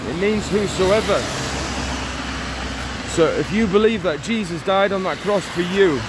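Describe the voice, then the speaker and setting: A man's voice: sits high, a little slow. A man in his forties vlogging on a phone held at arm's length as he walks outdoors in the rain, preaching in an earnest, urgent way and often repeating himself. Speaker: street evangelist vlogger. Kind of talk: walk-and-talk preaching vlog. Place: outdoors on a town street in the rain. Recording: phone on a street with traffic noise. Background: passing traffic.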